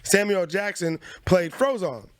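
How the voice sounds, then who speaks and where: A man's voice: high voice, a little slow. A man co-hosting a podcast, telling stories in casual slangy banter and swearing freely. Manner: animated storyteller, slangy, swears a lot. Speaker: podcast co-host.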